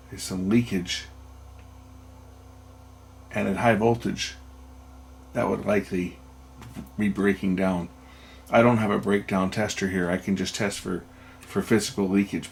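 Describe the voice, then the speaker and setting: Male repair-video host, creaky low register, plain explanatory delivery, unscripted at the workbench. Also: a little hiss or hum. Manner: matter-of-fact, explanatory, thinking aloud. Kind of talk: unscripted hands-on repair commentary.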